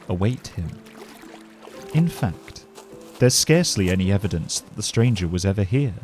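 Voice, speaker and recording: A man narrating a scripted fantasy story in a measured, dramatic storytelling manner. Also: lowish voice, a little mellow. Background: music.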